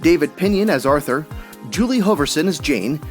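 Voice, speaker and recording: A man's voice: a little high, expressive, normal speed, soft background music. A man working as announcer, reading scripted show credits.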